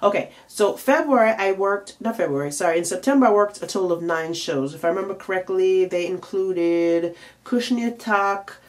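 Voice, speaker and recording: A woman's voice: medium pitch, moderate pace. A tired, congested woman giving chatty career advice to camera as a makeup and nail artist, with plenty of fillers. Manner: chatty, frequent fillers, emphatic rhetorical questions. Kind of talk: casual advice vlog to camera.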